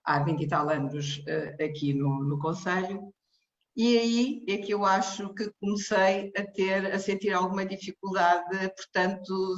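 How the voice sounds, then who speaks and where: A woman from Cape Verde, the technical director of a social care charity, giving a personal testimony in a hesitant way, full of pauses and restarts. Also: medium pitch. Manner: hesitant, many fillers and restarts. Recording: echoey, muffled room sound.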